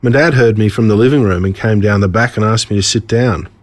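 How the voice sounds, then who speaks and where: An Australian man reading his own humorous stories as scripted narration, in an expressive, wry way. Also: on the low side, a little fast.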